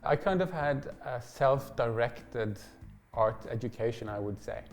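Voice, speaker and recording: A man from Norway recounts how he became a painter, in a calm, reflective way. His sentences often fade out softly at the ends. Faint music plays under his voice. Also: medium pitch, measured pace. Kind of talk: interview monologue.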